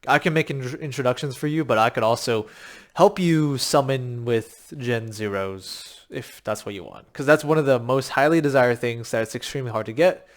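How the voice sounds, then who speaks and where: A man with a slightly raspy, worn voice, coaching viewers on a crypto game in a rambling, filler-heavy pitch, a little short of breath. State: short of breath from long talking. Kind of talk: casual talking-head explainer.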